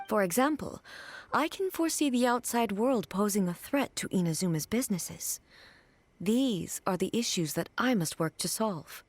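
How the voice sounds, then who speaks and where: A woman voicing a composed, regal goddess ruler in a video game, speaking in a formal, measured, dignified way. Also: medium pitch, animated, dry recording, lightly acted. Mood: calm regal.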